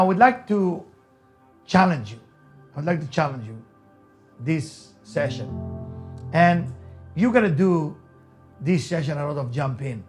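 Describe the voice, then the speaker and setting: Male motivational teacher, Israeli accent from Hebrew, slightly high voice, emphatic and repetitive delivery, music underneath. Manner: emphatic, repeats key phrases, rhetorical questions. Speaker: rabbi, motivational speaker and teacher. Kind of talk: motivational lecture to an audience.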